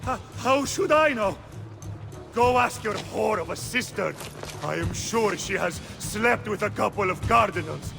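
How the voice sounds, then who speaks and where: A man's voice: sits high, moderate pace, gravelly. An actor playing an arrogant, cowardly Italian nobleman in a video game, taunting theatrically in an Italian accent. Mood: pained, spiteful. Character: arrogant sneering Italian nobleman bully, man, cowardly when beaten. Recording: loud music behind.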